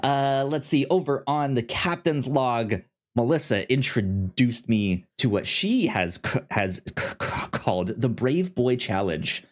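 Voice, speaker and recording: A man's voice: mid-range voice, expressive, measured pace. A man hosting a podcast in a casual, chatty style, often stammering over sounds and words. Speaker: podcast host.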